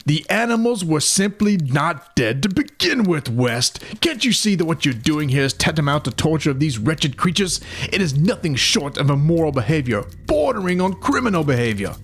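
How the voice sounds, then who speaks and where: A man's voice: on the high side, very animated, normal speed, fairly bright tone, husky, exaggerated voice. A man playing a stern, disapproving aging university dean in an audio drama, formal and admonishing.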